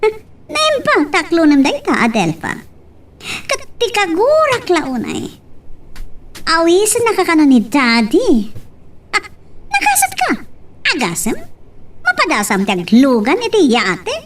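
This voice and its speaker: Spoiled young heiress, played by a woman: piercingly high, wildly animated, pushy, gushing radio-drama delivery, distant mic. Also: unhurried, long pauses, slight background noise, full character voice. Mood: gushing, teasing. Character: spoiled, pushy rich businessman's young daughter. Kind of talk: Ilocano radio-style drama acting.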